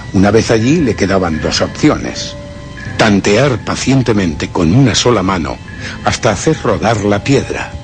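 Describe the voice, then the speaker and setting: Deep, brooding gentleman narrator. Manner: measured, theatrical, deliberate storytelling. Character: brooding gentleman narrator recounting his vengeful suspicions. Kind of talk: suspense radio drama first-person narration.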